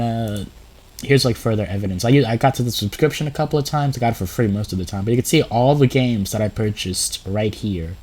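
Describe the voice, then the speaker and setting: A man's voice: fairly low pitch, a little mellow. Sick man rambling through gaming commentary. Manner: rambling, with filler words, laughs and restarts. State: sick with a sore throat and runny nose. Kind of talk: casual screen-share commentary, reading a blog post aloud.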